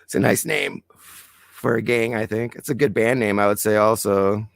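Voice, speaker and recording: A man's voice: on the low side, level tone, moderate pace, no echo. Man reading news with a dry, close sound. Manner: reads news items aloud, clears throat often, then gives blunt opinions. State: losing his voice. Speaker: podcast co-host. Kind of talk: casual political podcast chat.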